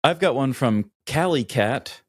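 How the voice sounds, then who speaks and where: A man co-hosting a podcast in casual chat, low-key and agreeable. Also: lively intonation, measured pace, husky, dry recording, studio quality. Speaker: podcast co-host.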